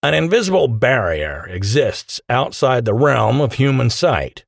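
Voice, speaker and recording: A man voicing an imposing, charismatic leader of about forty with a transatlantic accent, giving grand, measured oratory in an audiobook. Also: mid-range voice, sing-song, creaky, no echo, clean studio sound, full character voice. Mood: solemn, rousing.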